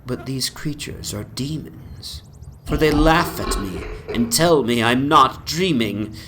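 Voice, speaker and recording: A man's voice: animated, takes it slowly. A man giving a theatrical, brooding and anguished dramatic reading of literary horror. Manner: theatrical, archaic phrasing, brooding and anguished first-person delivery. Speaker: dramatic reader of a horror story. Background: soundscape rumble.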